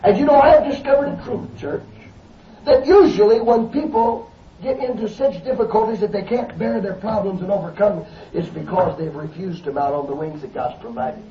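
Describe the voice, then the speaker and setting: A man preaching a fervent Pentecostal sermon, building to shouts and calling out hallelujahs. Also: high voice, moderate pace, slight background noise. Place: church service. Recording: echoey dull lo-fi recording from a distance.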